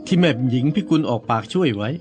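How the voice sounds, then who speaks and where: An actor in a radio drama playing a household servant in olden times, humble to his master and rough with his peers. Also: mid-range voice, animated, fast talker, darkish tone, natural voice. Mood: scolding. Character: deferential, grumbling male servant in an old noble household. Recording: studio radio drama, music behind.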